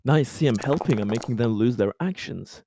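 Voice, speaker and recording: A man playing a tabletop roleplaying game, chatting casually and restarting his phrases in a halting way. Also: mid-range voice, lively intonation, gravelly, dark tone, a little hiss or hum.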